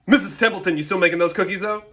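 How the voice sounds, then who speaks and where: A man playing a tactless, awkward best man in a comic wedding toast, halting and trailing off. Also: high voice, sharp tone. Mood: uncomfortable, fake cheer.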